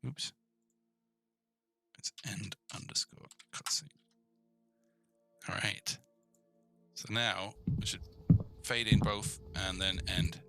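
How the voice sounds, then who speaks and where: A man with a fairly low, strikingly bright and forceful voice talks through a programming tutorial. He thinks aloud in an informal way, addressing the viewer directly and often checking that things make sense. Music plays behind him. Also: steady tone, slow, gravelly, long pauses. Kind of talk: casual screencast coding tutorial, thinking aloud.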